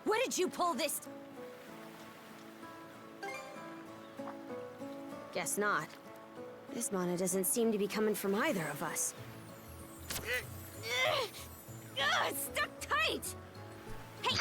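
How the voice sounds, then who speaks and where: Brash young pirate woman with lively delivery. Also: high voice, measured pace, pauses often, over loud music, full character voice. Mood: defiant, irritated, then exasperated, threatening. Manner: blunt, taunting, confident delivery. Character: brash young Norman pirate woman, sharp-tongued, proud, fierce fighter. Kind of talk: English video game voice acting.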